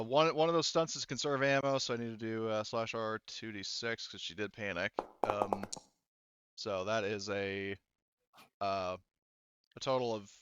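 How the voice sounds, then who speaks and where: Clear-voiced man running a tabletop game. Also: on the low side, fairly bright tone, no echo, highs cut off. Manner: thinks aloud through rules and dice math. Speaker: game master.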